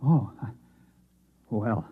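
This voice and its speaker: A man's voice: mid-range voice, drawn-out words, husky, exaggerated voice. An actor playing a meek, bewildered husband in an old American radio drama, hesitant and earnest, stammering over his words. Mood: uneasy, awkward. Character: meek bewildered husband who believes he is a Martian. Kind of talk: 1950s radio acting. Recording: muffled, tinny old radio recording.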